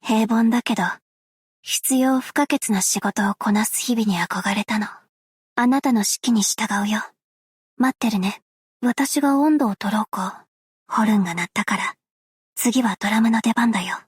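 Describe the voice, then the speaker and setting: An actress playing a gentle, self-effacing young woman in a video game, soft and whispery, with a hushed, measured, wistful delivery. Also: fairly high pitch, breathy edge, no echo, studio quality. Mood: composed, soft. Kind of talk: game character voice lines.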